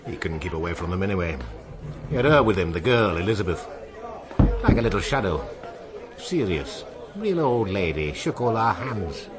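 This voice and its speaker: A man's voice: sits low, very animated, moderate pace, long pauses, heavy background noise. An actor playing a seasoned, wistful seaman in a radio drama, telling tales in a musing, colloquial way. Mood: wistful, nostalgic. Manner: musing, colloquial storytelling with seafaring turns of phrase. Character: seasoned old seaman, wistful, salty storyteller.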